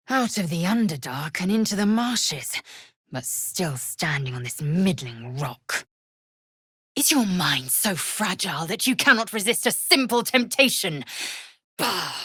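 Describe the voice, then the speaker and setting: A woman's voice: fairly low pitch, sharp tone, husky, dry recording, studio quality, full character voice. A woman playing a fierce, proud alien warrior in a video game, haughty and clipped, with formal, disdainful phrasing. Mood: sharp, scornful. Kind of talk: video game character voice lines.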